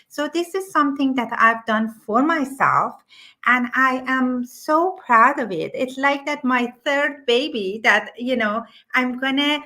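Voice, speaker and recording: Middle aged woman, high bright voice, eager and excited, keeps restarting, live interview chat. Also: unhurried. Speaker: business promoter.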